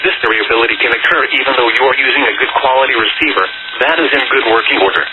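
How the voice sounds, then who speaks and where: A dull, lo-fi radio signal with clear humming noise carries a man's mid-pitched voice. He reads a scripted public service announcement for a weather radio test. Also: racing.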